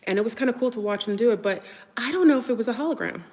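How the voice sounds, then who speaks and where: A woman's voice: a little high, racing, darkish tone, big-room sound. A woman whose first language is French, chatting casually and rambling with viewers on a livestream, full of fillers and restarts. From United States. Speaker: livestream host.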